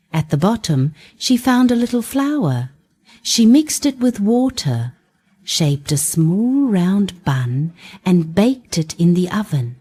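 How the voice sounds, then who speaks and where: A woman reads a children's bedtime fairy tale in a gentle, mellow voice. She takes her time over short phrases, letting the pitch rise and fall in a lively, storybook way. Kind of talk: scripted fairy-tale narration for young children.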